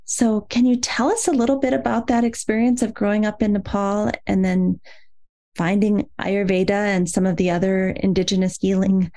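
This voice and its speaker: A woman's voice: medium pitch, level tone, moderate pace, warm tone. A woman speaking as the host of a podcast, introducing the show and interviewing a guest.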